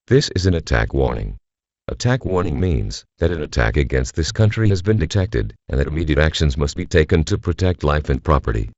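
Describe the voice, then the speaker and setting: A man playing a grave government announcer, reading a scripted emergency bulletin in a formal, measured, solemn manner. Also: rumbling low, big pitch swings, a little mellow, no room sound, highs cut off, full character voice. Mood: grave, official. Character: grave male government civil defense announcer.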